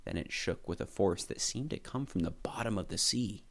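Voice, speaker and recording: A man reading a storybook aloud with expressive, dramatic narration. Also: sits low, normal speed. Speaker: storybook reader.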